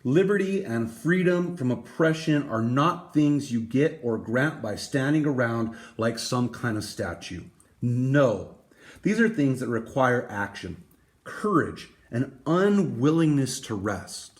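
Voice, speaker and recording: Male storybook reader, slow pace, very lively pitch, dramatic emphasis, deliberate pauses. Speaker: reader reading a picture book aloud. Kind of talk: expressive storybook read-aloud.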